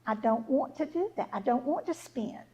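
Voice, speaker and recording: A stubborn, put-upon fairy-tale maiden, as a woman storyteller acts her out. Her voice is high and lively in pitch, with a plaintive, protesting edge. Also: moderate pace, husky, highs cut off. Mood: defiant. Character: stubborn, put-upon young maiden who loves gardening.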